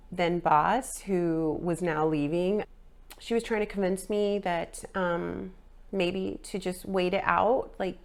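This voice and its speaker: A woman in her forties talks through a personal fitness update in a loose, rambling way, full of ums and likes. Her voice sits a little low and has a gravelly fry. It carries a slight nasal tone left from a sinus infection. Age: 40s. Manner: rambling, filler-heavy, frequent ums and likes. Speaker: fitness vlogger. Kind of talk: casual personal update chat.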